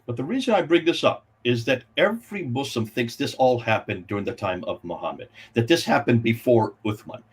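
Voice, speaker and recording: A man's voice: mid-range voice, expressive, fairly quick, hardly any pauses, slightly rough. A man co-hosting a livestream discussion, pressing his points with emphatic rhetorical questions. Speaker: co-host.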